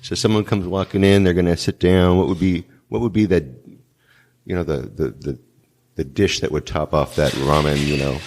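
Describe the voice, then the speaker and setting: A man's voice: low voice, normal speed, noisy background. A man hosting a travel show, interviewing a guest in a joking, casual way and often repeating words as he goes. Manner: joking, repeats himself, lively.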